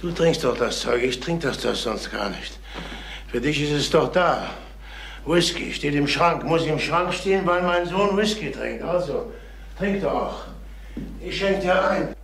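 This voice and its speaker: An actor playing a middle-aged, exhausted, agitated father in a German crime radio drama, brusque, halting and repeating himself. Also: on the high side, lively intonation, gravelly, a bit bright, full character voice. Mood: pressing. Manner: halting, repetitive, demanding. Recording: roomy, faintly noisy old radio recording.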